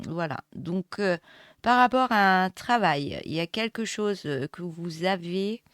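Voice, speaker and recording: Hoarse woman, slow and hesitant. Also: lowish voice, no echo. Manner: hesitant, many fillers and restarts. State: hoarse from a cold. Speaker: tarot reader. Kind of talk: casual tarot reading to viewers. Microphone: close mic. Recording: dry close mic.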